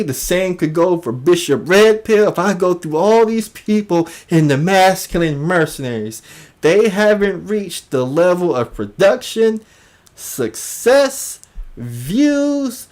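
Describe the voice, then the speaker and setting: Slow, deliberate livestream commentator, emphatic and repetitive. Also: medium pitch, darkish tone. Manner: emphatic, repeats phrases for emphasis. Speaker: YouTube commentary host.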